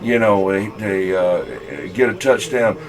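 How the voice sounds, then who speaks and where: Low-voiced Southern man rambling on CB radio. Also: a crowd in the background. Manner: folksy, halting, rambling. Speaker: CB radio operator. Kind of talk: casual rambling storytelling over CB radio.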